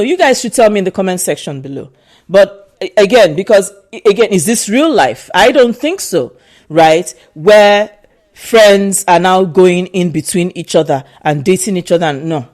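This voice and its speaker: A Nigerian woman talks straight to her viewers in a casual vlog. Her voice is pitched mid-range for a woman but swings widely up and down. She is emphatic and repeats lines to drive them home. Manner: emphatic and animated, repeats herself for stress. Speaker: vlogger.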